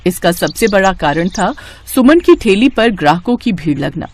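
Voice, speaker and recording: A woman's voice: medium pitch, animated, moderate pace, slight background noise. A woman narrating a scripted moral story for a cartoon.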